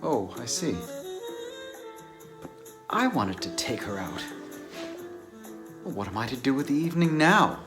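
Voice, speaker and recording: A man's voice: mid-range voice, very animated, a little slow, long pauses, music in the background. A man playing a reserved, wistful, bookish bachelor in a dramatized novel reading, with a formal, musing and slightly hesitant delivery. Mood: wistful, put out.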